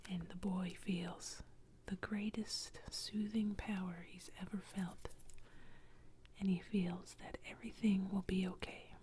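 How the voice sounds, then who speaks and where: A woman telling a calm story entirely in a soft, unvoiced ASMR whisper. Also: medium pitch, takes it slowly, noisy background.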